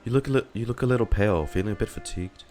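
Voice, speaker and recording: A man giving casual, halting, sweary reaction commentary on a game, musing aloud with music behind. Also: on the low side. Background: soft game music.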